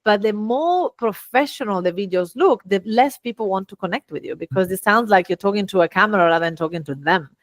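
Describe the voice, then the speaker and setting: A middle-aged woman with an Italian accent, a guest telling lively stories and acting out quoted dialogue. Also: a little fast, few pauses, no echo. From Italy. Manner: lively, acts out quoted dialogue with big pitch jumps. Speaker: guest, VA agency founder. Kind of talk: animated storytelling in conversation.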